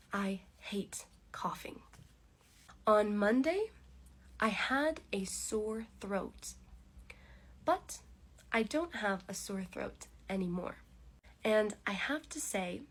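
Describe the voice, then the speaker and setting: A woman hosting a slow English podcast, speaking deliberately with pauses, congested and with a cough. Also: medium pitch, husky. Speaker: podcast host teaching English. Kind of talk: slow, clear English listening lesson.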